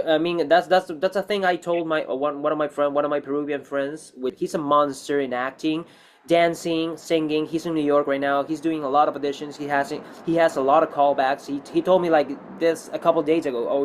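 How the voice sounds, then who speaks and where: A man's voice: fairly high pitch, steady tone, fairly quick, slight background noise. A man speaking non-native English in a casual interview as an actor, rambling and repeating himself as he gives emphatic advice.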